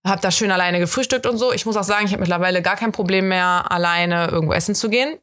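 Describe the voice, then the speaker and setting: A young woman from Germany, hosting a podcast, telling a story in casual, chatty fashion and acting out the quoted bits of dialogue. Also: fast talker. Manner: chatty and animated, voices quoted dialogue with big pitch jumps. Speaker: podcast host.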